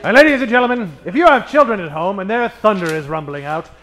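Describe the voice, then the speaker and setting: A man's voice: high voice, sing-song, fast, fairly bright tone, soft background music. A man announcing and narrating in a theatrical old-time radio parody style.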